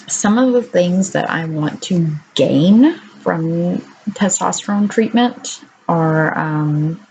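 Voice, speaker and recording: A woman with a naturally deep voice talking candidly at home to her camera in a casual video diary, unhurried and full of ums. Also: darkish tone, a little hiss or hum, low-quality recording. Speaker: vlogger.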